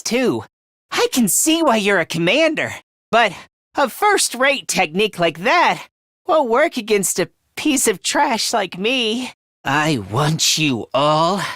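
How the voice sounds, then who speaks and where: A man's voice: high voice, fairly bright tone, no echo, studio quality, exaggerated voice. A man voicing a timid, self-loathing young male academy fighter in a video game, hesitant and prone to stammering. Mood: hesitant, meek.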